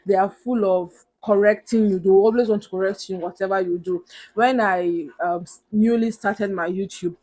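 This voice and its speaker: A young Nigerian woman with a big, loud voice talks straight to camera in a vlog. She pushes her advice with earnest emphasis and keeps repeating the key points. Her pitch sits in a mid, everyday range for a woman. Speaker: vlogger. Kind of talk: motivational advice talk to camera.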